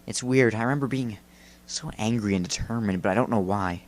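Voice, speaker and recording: A man's voice: lowish voice, fairly quick, close to an everyday voice. Man voicing a bitter, surly young vengeful spirit. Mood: bitter, puzzled. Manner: snappish, surly, brooding.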